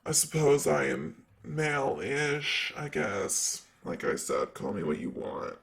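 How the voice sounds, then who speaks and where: A man's voice: a little high, sing-song, slow pace, vocal fry, fairly bright tone, full character voice. A man playing a sassy, vain, flirty ancient demon secretary in an improvised ASMR roleplay, with a teasing, casual manner. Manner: teasing, casual, drawling asides.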